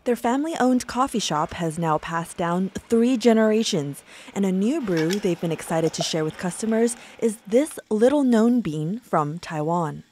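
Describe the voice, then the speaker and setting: A woman reads a scripted news report in a mellow, warm tone. She keeps a measured, even delivery, recorded as a clean studio voice-over. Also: medium pitch, a little hiss or hum. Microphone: studio voice-over mic.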